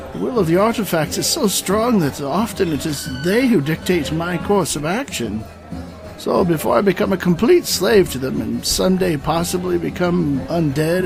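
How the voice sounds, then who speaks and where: A man acts out an aging, world-weary knight from a fantasy radio play. His fairly high voice swings widely as he speaks in grand, old-fashioned phrases, with a creaky edge and music underneath. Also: exaggerated voice, low-quality recording. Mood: weary, resigned. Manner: formal, grandiose, archaic storytelling. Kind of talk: audio drama acting.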